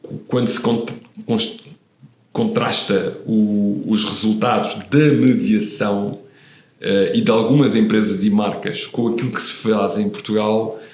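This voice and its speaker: A man's voice: on the low side, steady tone, a little slow, darkish tone, roomy sound. A man in his fifties, born in Italy, talking thoughtfully as a podcast guest, with frequent hesitations and restarts. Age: 50s. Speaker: guest, real estate professional. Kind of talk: relaxed interview conversation.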